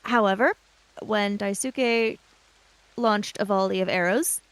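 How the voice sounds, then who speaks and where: A woman narrating her character's actions in a tabletop roleplaying game, in halting, deliberate phrases. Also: mid-range voice, smooth, no echo, poor audio.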